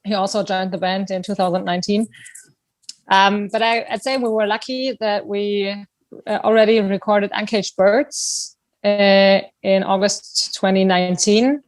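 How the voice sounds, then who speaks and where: Woman, German accent, band singer, casual interview chat, halting delivery, dry recording. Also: medium pitch, steady tone, no room sound. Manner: halting, searching for words.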